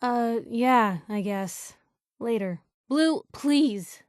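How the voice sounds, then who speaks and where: A woman's voice: on the high side, lively intonation, very slow, a little mellow, no echo, studio quality. A woman playing a feisty young female reporter in a video game, sardonic but vulnerable, talking in a wry, emphatic, conversational way. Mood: hesitant, vulnerable. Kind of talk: expressive video game dialogue acting.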